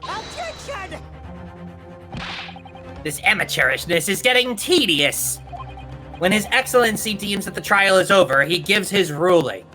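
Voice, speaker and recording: A woman's voice: medium pitch, very animated, a little slow, pauses often. A woman voicing a condescending, theatrical prosecutor in a fan dub of a courtroom game. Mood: disdainful. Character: haughty, sneering foreign prosecutor looking down on a rookie. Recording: loud music behind.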